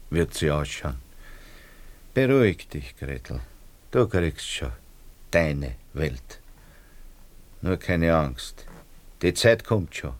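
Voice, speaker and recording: Very deep, slow, grumbling Austrian father. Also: heavily acted. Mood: soothing, grumbling. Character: older Viennese hairdresser, wheedling, self-serving, cynical father. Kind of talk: radio play acting.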